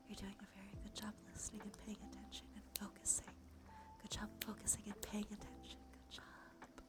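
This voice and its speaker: Female ASMR guide, whispered delivery, soothing and unhurried, medium pitch, music bed underneath. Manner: slow, soothing, gently coaxing. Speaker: ASMR streamer. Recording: music behind the voice.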